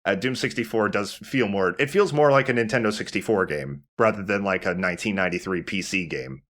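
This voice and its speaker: A man hosting a let's play, giving casual gaming commentary in a halting, conversational way. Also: lowish voice, a bit bright.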